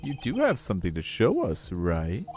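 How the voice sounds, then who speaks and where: A man's voice: deep voice, wildly swooping pitch, normal speed, darkish tone, faint music behind. A man voicing a smug, menacing older police chief from a video game, with theatrical, jovial taunting. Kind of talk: amateur voice acting of game dialogue.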